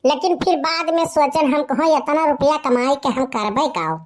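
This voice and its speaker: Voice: sits high, moderate pace, lightly acted. A performer voicing a boastful young village slacker in a comic cartoon story, with a rustic eastern Uttar Pradesh Awadhi accent.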